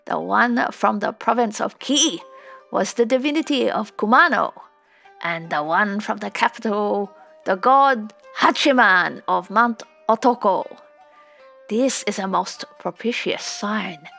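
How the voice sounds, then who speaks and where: Woman reading as a bold storybook warrior, creaky-edged. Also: medium pitch, measured pace, a bit bright, faint music behind. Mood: hearty, reassuring. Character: bold, confident male warrior leader, reassuring and devout. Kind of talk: storybook character voice.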